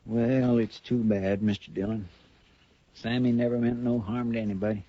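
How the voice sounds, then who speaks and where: An actor playing an earnest, loyal deputy sidekick in a 1950s radio western, on a muffled old broadcast recording. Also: male voice, medium pitch, fairly even intonation, moderate pace, no echo, close to an everyday voice. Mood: somber. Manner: plain-spoken, earnest.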